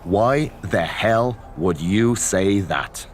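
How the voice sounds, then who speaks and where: Blunt low-voiced motivator. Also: male voice, very slow, slight background noise. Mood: blunt, mocking. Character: tough-love male friend, blunt, goading, encouraging. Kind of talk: video game cutscene acting.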